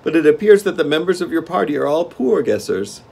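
Shrill, gloating gnome king. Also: big pitch swings, a little fast, gravelly, a little mellow, slightly rough, full character voice. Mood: smug. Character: gloating, sly gnome king, a cruel monarch.

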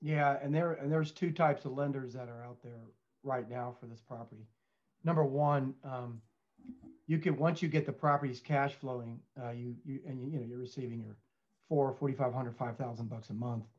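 A man's voice: medium pitch, steady tone, normal speed. Dull-sounding man in his fifties, conversational. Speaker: show panelist, lender. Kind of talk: casual business discussion. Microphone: headset on video call. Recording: dull, lo-fi video call audio.

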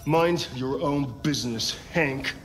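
An actor playing a hostile, foul-mouthed bully of a police detective in a video game, aggressive and threatening. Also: male voice, mid-range voice, slow, close to an everyday voice. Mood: menacing.

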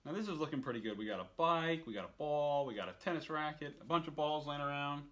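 A man's voice: a little high, lively intonation, fast, a bit bright. A man in his thirties reading a picture book aloud expressively for children, with casual asides. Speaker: story time reader. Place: indoors on a couch.

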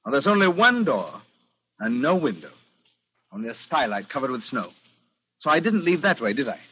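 Suave, scornful villain, husky. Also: male voice, mid-range voice, sing-song, normal speed. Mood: indignant. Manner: sardonic, mocking, polished formal speech. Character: suave, scornful male villain hiding stolen money. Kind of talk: 1940s radio acting. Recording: dull, muffled old radio recording.

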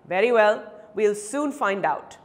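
A woman voicing a stern, well-to-do male lawyer in a dramatic story reading, forceful and scolding. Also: medium pitch, lively intonation, unhurried, natural voice. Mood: stern, commanding.

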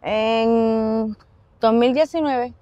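A young woman with a Puerto Rican accent giving personal testimony in an interview, halting and pausing mid-sentence. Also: fairly high pitch, dark tone, no room sound, lo-fi sound. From Puerto Rico. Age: young adult. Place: outdoors in an open park.

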